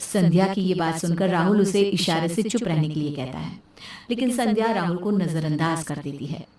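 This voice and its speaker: A strongly echoing recording of a woman narrating a scripted story. She sounds distant from the microphone, with clear crowd babble behind her storytelling. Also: on the low side, moderate pace.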